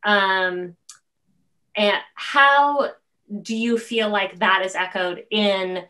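A middle-aged woman hosts a conversational interview over a video call. She talks slowly in a mid-range voice, expressive and stop-start as she shapes each question. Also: mid-range voice. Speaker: interview host. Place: room at home on a video call.

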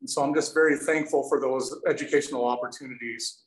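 A man's voice: mid-range voice, steady tone, fast talker. A man in his forties answering audience questions on a video call from an indoor workshop, in an earnest, thankful way. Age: 40s. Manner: earnest, thankful, some restarts. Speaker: presenter, canoe maker. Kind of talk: casual Q&A answers. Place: indoor studio with windows. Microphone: video call, webcam. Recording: roomy echoey video call audio.

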